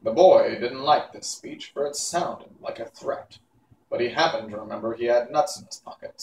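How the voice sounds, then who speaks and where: A man reading a classic children's book aloud in an unhurried, expressive storytelling manner. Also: medium pitch, vocal fry. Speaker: audiobook reader. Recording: echoey room, distant mic, faint hiss.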